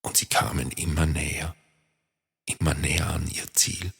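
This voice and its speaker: A man narrating a children's story in a lively manner, speaking to young listeners. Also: rumbling low, moderate pace, slightly rough. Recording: long reverb.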